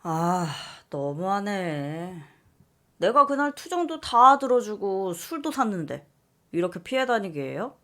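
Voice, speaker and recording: A woman voicing a calm, playful young male office coworker who teases gently. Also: mid-range voice, unhurried. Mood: teasing. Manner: teasing, gently joking.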